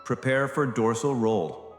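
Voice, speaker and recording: A man's voice: medium pitch, expressive, measured pace, lightly acted. A man playing a resolute, terse spaceship captain in a science fiction audio drama. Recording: echoey reverb with music behind.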